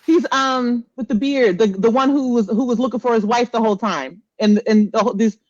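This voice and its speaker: A woman's voice: on the high side, a little fast, no echo, dull audio. A woman co-hosting a pop culture podcast in casual, slangy, exclamatory talk. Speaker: podcast co-host.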